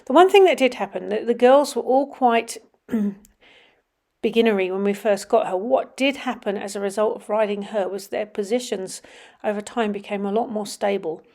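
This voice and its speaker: A middle-aged woman with a slightly sniffly, runny nose, casually commenting over videos of her children riding, with frequent ums and some quiet murmured asides. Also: medium pitch, moderate pace. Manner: chatty, many ums, drops to quiet murmurs while watching. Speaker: vlogger.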